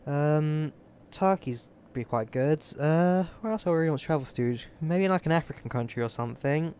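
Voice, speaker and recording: A man's voice: on the high side, normal speed, a little mellow, clean tone. A young man from the UK, chatting casually and rambling to viewers while he streams a flight simulator. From United Kingdom. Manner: rambling, chatty, thinking aloud while fiddling with settings. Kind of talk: casual live-stream chat.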